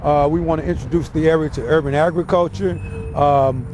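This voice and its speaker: American male interviewee, a touch high-pitched, earnest, halting, repeated words, noticeable outdoor traffic noise. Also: steady tone. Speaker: community nonprofit founder being interviewed. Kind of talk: informal news interview.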